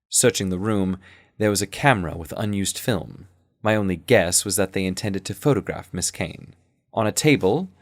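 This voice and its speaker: A man playing an earnest, shaken sleuth who narrates his adventure in a measured, literary way for an audio drama. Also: lowish voice, no echo, clean studio sound. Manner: literary, measured, deliberate phrasing. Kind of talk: scripted first-person audio drama narration.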